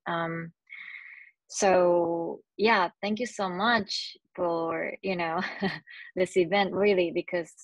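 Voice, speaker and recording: Japanese woman speaking English haltingly. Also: lowish voice, fairly even intonation, no echo, rough recording. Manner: halting, searching for words with many restarts. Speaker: panelist. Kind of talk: panel discussion over video call. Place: small room indoors. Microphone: earbuds on a video call.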